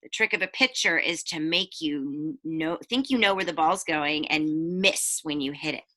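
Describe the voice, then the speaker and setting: A woman teacher reading a picture book aloud to children, expressive, with chatty explanations and questions for the listeners. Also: deep voice, moderate pace.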